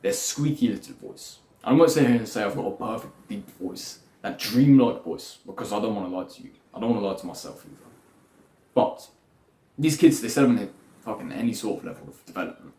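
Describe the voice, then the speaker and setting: A man's voice: on the low side, animated, a little fast, warm tone. A young man lecturing bluntly in a rambling self-improvement monologue, swearing freely. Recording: echoey room with faint music.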